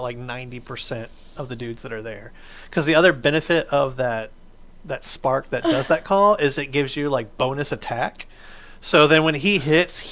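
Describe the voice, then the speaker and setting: A man's voice: on the high side, sharp tone. A man hosting a gaming video, giving casual, enthusiastic gameplay commentary in an informal, explaining way. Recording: game music behind the voice.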